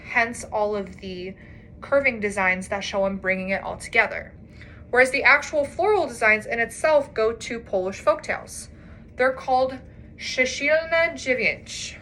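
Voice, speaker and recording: A woman's voice: fairly high pitch, a bit bright, a little hiss or hum, low-quality recording. A young woman giving a prepared class presentation, explaining in an earnest way with a few light laughs. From United States. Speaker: student presenter.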